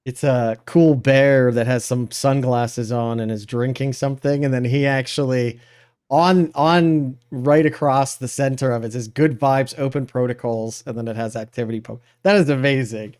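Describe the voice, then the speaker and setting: Male podcast host, mid pitch, clean resonant tone, informal, enthusiastic, conversational. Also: steady tone, normal speed, darkish tone, clear, resonant tone. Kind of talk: casual live show hosting and chat.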